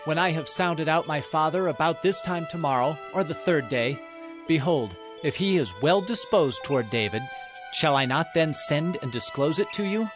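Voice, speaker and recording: An actor playing a loyal young prince in dramatized scripture, speaking earnestly and reassuringly. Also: male voice, fairly high pitch, lively intonation, moderate pace, music in the background, lightly acted. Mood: solemn, earnest. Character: Jonathan, loyal young prince and sworn friend. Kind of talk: dramatized scripture audio acting.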